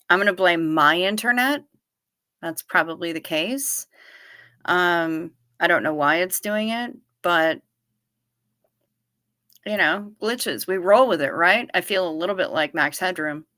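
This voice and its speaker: Bright-voiced chatty woman in her fifties. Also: lowish voice, unhurried, dry recording, clean studio sound. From Niagara Falls region. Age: 50s. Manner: chatty and playful, addresses viewers by name. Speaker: livestream host. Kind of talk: casual livestream chat reading viewer comments.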